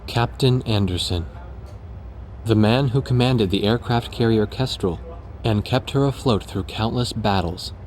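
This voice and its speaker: A man's voice: lowish voice, a little slow, a little mellow, slight background noise, lightly acted. An actor playing an earnest, serious military man in a game cutscene. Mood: respectful. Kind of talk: dubbed game cutscene drama.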